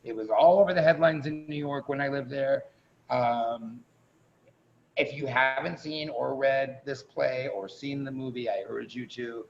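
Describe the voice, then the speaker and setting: A man with a gravelly, creaky voice gives a casual host's introduction. His delivery is informal and chatty, with playful emphasis. The video-call sound is dull and slightly noisy. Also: medium pitch, a little slow. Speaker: host introducing performers.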